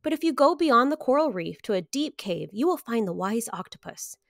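A woman's voice: fairly high pitch, sing-song, fairly quick, vocal fry, fairly bright tone, no room sound, studio quality, exaggerated voice. A woman voicing a helpful starfish in a picture book, in a matter-of-fact manner. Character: helpful starfish giving directions.

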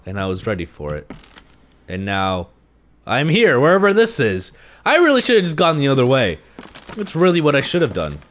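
A man's voice: medium pitch, fairly bright tone, slight background noise. Congested young man narrating a horror game. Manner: nervous, talks to himself and the game. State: congested from allergies. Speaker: gaming video creator. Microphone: headset.

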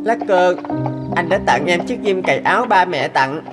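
A woman voicing an earnest, expressive young boy in a cartoon dub. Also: on the low side, normal speed, close to an everyday voice. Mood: tender. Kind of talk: cartoon dubbing. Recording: music behind the voice. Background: instrumental music.

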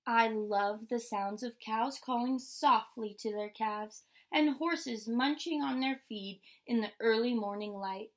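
A young adult woman reading a picture book aloud like a teacher, in a measured, instructional way. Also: on the high side. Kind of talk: picture-book read-aloud with short explanations. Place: indoor room with painted mural wall.

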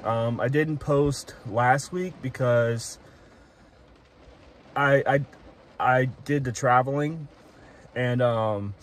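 A young man in his twenties giving a casual vlog update from inside a parked car, hesitant with many fillers and restarts. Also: mid-range voice. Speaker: vlogger. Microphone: phone camera close to face. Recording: faint rain noise in car. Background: rain and car ambience.

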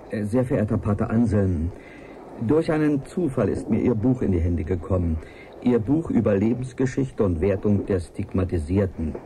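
An actor playing an earnest, driven biologist who dictates a formal, courteous and emphatic taped letter in a radio play. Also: male voice, fairly low pitch, noisy background, close to an everyday voice.

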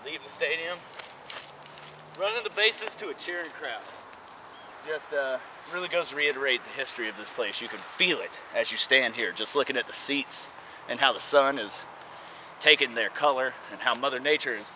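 A young man giving a casual, folksy guided tour to camera, recorded outdoors at an abandoned baseball stadium. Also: mid-range voice. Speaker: urban exploration vlogger. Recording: outdoor camera audio with noise.

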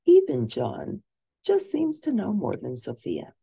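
A woman reading a children's storybook aloud expressively, with drawn-out emphasis for young listeners. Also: mid-range voice, huge pitch swings, gravelly, dark tone, breathy edge. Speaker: storybook reader.

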